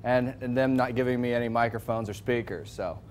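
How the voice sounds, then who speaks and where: A man giving a loud, projecting sales presentation to a live audience, firing questions at the crowd. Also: mid-range voice, a little fast, a little hiss or hum. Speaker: product presenter.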